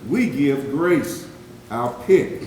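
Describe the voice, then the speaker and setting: A man performing a solemn poet-witness who mourns the horrors of slavery, reciting dramatic verse in a declamatory, deliberate way with weighted pauses. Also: mid-range voice, expressive, darkish tone. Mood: solemn, bitter. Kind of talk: dramatic spoken-word poetry recitation, readers theatre. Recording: very echoey hall, distant mic, faint noise.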